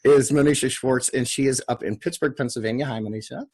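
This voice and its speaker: A man's voice: medium pitch, fast, a little mellow, husky. A man hosting and reading stage directions at a screenplay table read, with casual delivery. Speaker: host and stage-direction narrator. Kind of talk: scripted screenplay narration and casual introductions.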